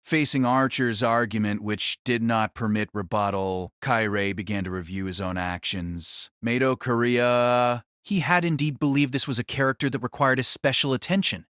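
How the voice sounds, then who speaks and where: A man's voice: mid-range voice, normal speed, a bit bright, clear, resonant tone, no echo, studio quality. A man narrating a novel as an audio drama in a dramatic scripted style.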